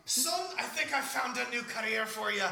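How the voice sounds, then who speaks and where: Woman playing a high, bright immigrant dad. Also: normal speed, gravelly. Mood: curious, proud. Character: earnest, doting Irish immigrant father, newly arrived. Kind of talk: improvised comedy sketch acting. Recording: echoey room.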